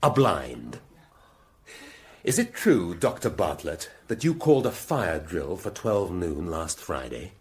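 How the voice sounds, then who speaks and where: Radio drama detective: shrewd English inspector, lowish voice, widely swinging intonation, deliberate and accusatory. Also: male voice, very animated, a little mellow, exaggerated voice. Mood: dramatic, accusing. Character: shrewd, commanding male police inspector exposing a killer. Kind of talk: British radio drama acting.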